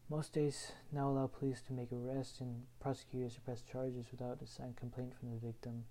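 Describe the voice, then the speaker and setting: A young man from Houston, Texas, reading his own written personal story aloud in an earnest, measured way. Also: mid-range voice, little pitch movement, mellow. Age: young adult.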